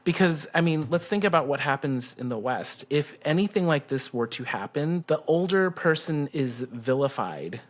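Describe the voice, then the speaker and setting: A podcast co-host with a medium-pitched voice chats casually. The delivery is informal and giggly, with an easy storytelling flow of personal anecdotes. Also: lively intonation, moderate pace, few pauses. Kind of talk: casual chat.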